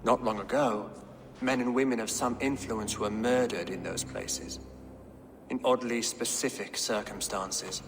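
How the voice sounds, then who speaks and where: An actor playing a shrewd, pious Saxon king who speaks formally and with measured eloquence in a video game. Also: medium pitch, fairly even intonation, music in the background, lightly acted. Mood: measured, guarded. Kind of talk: dramatic video game dialogue.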